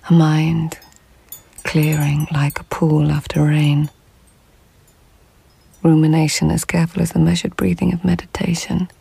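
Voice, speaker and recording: A thoughtful court lady in a radio play, super creaky breathy voice, close and dry, slow poetic pauses. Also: deep voice, warm tone, no room sound, exaggerated voice, low-quality recording. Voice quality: husky, breathy near-whisper. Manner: measured, pausing, poetic. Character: Lady Shonagon, reflective court lady of tenth-century Japan. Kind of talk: intimate audio drama narration.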